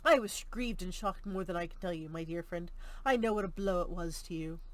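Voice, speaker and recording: A woman voicing a cordial, sensible older gentleman, a father, in an audiobook, with a courteous, lively manner. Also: fairly low pitch, a little fast, no echo, lightly acted. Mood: sympathetic. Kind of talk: audiobook character reading.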